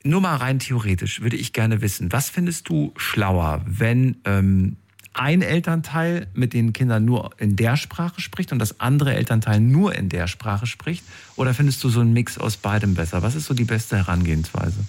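A man's voice: mid-range voice, lively intonation, moderate pace, a little mellow. A man interviewing someone in a conversational, informal way, often adding little tag questions. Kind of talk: conversational interview about personal experiences.

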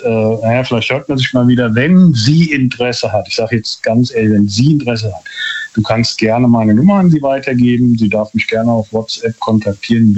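A man's voice: mid-range voice, a little mellow, slightly rough. A man phoning in to a radio show, chatting casually and in a rambling way. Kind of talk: casual, rambling phone chat. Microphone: phone. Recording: dull lo-fi phone line.

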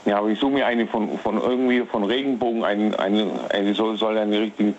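A man in his thirties calling into a radio show, talking haltingly and repeating his words. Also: fairly low pitch, steady tone, slight background noise. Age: 30s. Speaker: caller. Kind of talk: phone call-in to radio. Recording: muffled lo-fi phone line.

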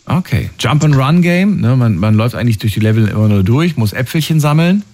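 A man's voice: medium pitch, lively intonation, fairly quick, darkish tone. A middle-aged man hosting a call-in show, chatty and asking callers follow-up questions. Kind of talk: casual call-in moderation.

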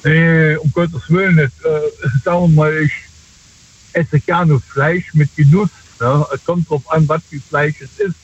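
A man on a muffled phone line rambles casually to a radio host. His voice is fairly high and husky. He halts and circles back, tacking colloquial tag questions onto his sentences. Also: steady tone, unhurried. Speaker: phone-in caller. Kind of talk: casual chat. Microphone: telephone. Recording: dull lo-fi phone call.

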